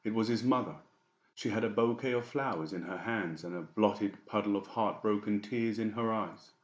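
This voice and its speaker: A man with a very low voice narrates a dramatised story. The sound is roomy and a little muffled, as in a boxy home recording. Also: moderate pace. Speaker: audiobook narrator.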